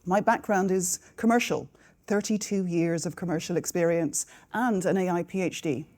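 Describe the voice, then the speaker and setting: Mellow Northern Irish woman. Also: on the low side, moderate pace. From Northern Ireland. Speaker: government AI adviser, panelist. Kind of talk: panel introduction.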